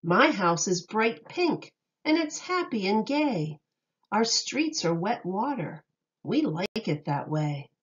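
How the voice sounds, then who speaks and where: A woman reading a rhyming picture book aloud in an expressive, sing-song way meant for young children. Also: medium pitch, slow, dull audio.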